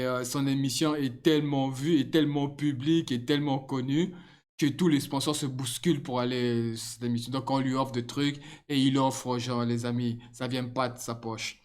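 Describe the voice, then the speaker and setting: A middle-aged man, not a native French speaker, chatting casually to his viewers with frequent laughs and halting restarts. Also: medium pitch, darkish tone. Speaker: channel host.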